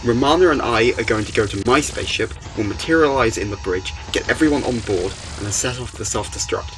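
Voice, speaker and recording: A man's voice: medium pitch, a little fast, fairly bright tone, a little hiss or hum, close to an everyday voice, low-quality recording. A man playing a commanding alien time traveller in a fan audio drama, urgent but hopeful. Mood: determined.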